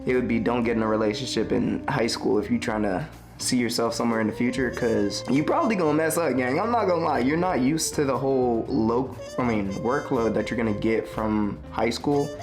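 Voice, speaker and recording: A man's voice: mid-range voice, brisk. A young man from Tennessee telling his life story in a casual, slangy, rambling way, often correcting himself. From Tennessee, USA. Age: young adult. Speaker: vlogger. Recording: music playing under the voice.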